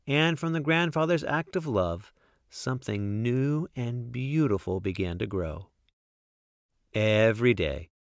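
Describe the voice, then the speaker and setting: Man, slow pace, close dry sound, expressive children's storytelling, deliberate emphasis. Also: on the low side, gravelly, no echo. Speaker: guest storybook reader. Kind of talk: expressive read-aloud of a children's picture book.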